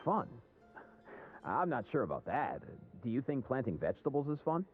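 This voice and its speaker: Man playing an animated, easygoing game buddy. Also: medium pitch, normal speed, phone-quality sound, close to an everyday voice. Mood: casual teasing. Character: easygoing, teasing male friend, practical and blunt. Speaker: game character. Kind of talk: video game voice acting. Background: music.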